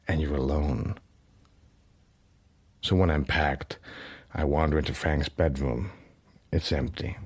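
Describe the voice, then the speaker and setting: A haunted, very deep-voiced narrator. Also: male voice, husky, heavily acted. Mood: wistful. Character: haunted, introspective male narrator confiding dark private thoughts. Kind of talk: intimate first-person radio drama narration. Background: music.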